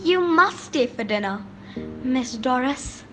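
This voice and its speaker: A woman acting a haughty, genteel countess in a radio play, speaking in a formal, theatrical, halting way. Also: high voice, expressive, pushed and bright, faint music behind, heavily acted. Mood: gracious.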